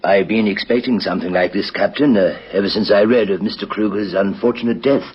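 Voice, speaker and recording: An actor playing an obliging bank manager in a radio crime drama, polite and slightly hesitant. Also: male voice, on the low side, a little fast, lightly acted. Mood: obliging, grave. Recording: dull, faintly noisy vintage radio recording.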